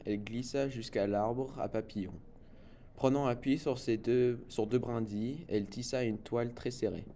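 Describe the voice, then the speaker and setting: Young man reading a storybook, mellow and noisy. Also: medium pitch. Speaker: student reader. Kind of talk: read-aloud children's storybook narration. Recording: noticeable background noise.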